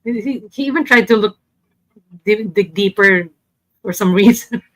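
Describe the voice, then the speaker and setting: A woman presents to a group in a casual, slightly hesitant way, feeling for her words. Her pitch is average and the sound is dry, with very little room. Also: measured pace, a bit bright, dry recording, poor audio. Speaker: podcast panelist presenting a game.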